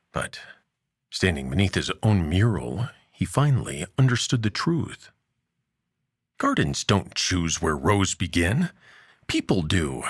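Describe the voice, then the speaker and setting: A man narrating a scripted audio story as its host, in a measured, dramatic way with deliberate pauses. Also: lowish voice, breathy edge, dry recording, studio quality.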